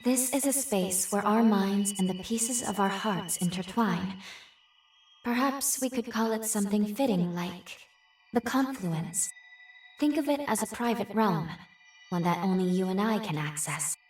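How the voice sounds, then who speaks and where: An actress playing a gentle, formal female companion in a video game, speaking carefully with an echoing effect. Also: mid-range voice, lively intonation, a little slow. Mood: calm, explanatory. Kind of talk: video game cutscene acting. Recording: echoey, distant voice over music.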